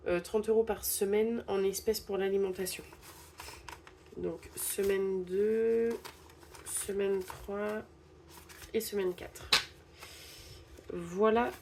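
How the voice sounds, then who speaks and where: A woman's voice: mid-range voice, fairly even intonation, slow, long pauses, mellow, slight background noise. A young woman with a stuffy head cold, casually narrating a budgeting vlog and hesitantly counting bills aloud. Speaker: budgeting channel host.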